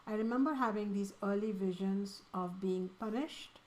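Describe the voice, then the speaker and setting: A woman's voice: mid-range voice, moderate pace, darkish tone, poor audio. A woman from India with an Indian accent, a spiritual teacher answering student questions in an earnest, emphatic way.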